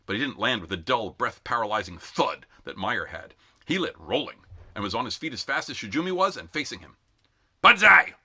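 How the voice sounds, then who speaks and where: Male narrator for a read-aloud: forceful, sharp tone, lively intonation, dramatic phrasing, expressive storytelling. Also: lowish voice, a little fast, breathy edge. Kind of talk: expressive audiobook-style narration.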